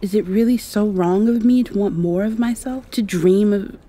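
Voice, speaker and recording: A shy, romantic young vampire girl acted by a woman in an intimate ASMR roleplay. Her voice is mellow and breathy, at a middling pitch. She speaks softly and hesitantly, with an earnest, confiding manner. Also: normal speed, lightly acted. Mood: earnest, nervous.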